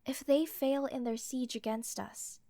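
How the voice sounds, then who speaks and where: A woman's voice: high-pitched, steady tone, unhurried, mellow, dry recording, studio quality. A woman playing a proud, earnest young queen in a fantasy audio roleplay, speaking formally and a little defensively.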